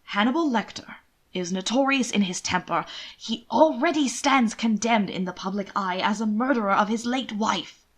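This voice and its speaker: A woman's voice: medium pitch, natural voice. A woman voicing a middle-aged male earl in a period audiobook, blustering and indignant, with emphatic delivery. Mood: wary. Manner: loud, emphatic, slow deliberate outrage.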